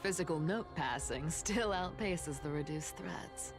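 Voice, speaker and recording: An actress voicing a sly, playful female assassin in a video game, teasing and coolly menacing in a breathy, theatrical drawl. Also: sits low, unhurried, over music. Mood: curious, wry. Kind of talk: English game voice acting, theatrical monologue.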